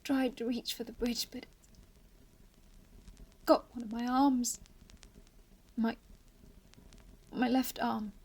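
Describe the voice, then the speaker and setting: Woman acting a slow, creaky-whispered dying survivor. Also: a little high, flat delivery, darkish tone, full character voice. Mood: frightened, strained. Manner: halting, fragmented phrases with long pauses. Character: dying lone female survivor, entangled, haunted, bitterly resigned. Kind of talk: horror radio play monologue.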